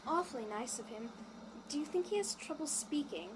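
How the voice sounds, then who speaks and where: A woman's voice: high-pitched, animated, a little fast. A woman playing an anxious, considerate young female traveler with polite manners in an audio drama. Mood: polite, grateful. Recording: clearly noisy background.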